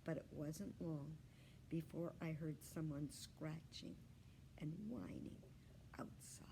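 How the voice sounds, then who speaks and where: A woman narrates a children's storybook in a slightly low voice. She takes her time, with deliberate, expressive delivery. There is some faint noise behind her. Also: gravelly. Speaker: children's librarian reading aloud.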